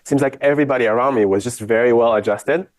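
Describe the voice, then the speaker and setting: A man's voice: mid-range voice, quick pace, dry recording. A man from Israel giving a university lecture off the cuff, speaking frankly and giving his own opinions.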